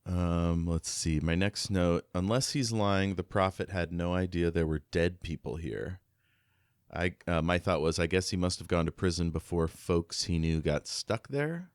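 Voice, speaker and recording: Man, low voice, unhurried pace, podcast co-host, casual book chat, musing, questioning. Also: clear, resonant tone, no echo, studio quality.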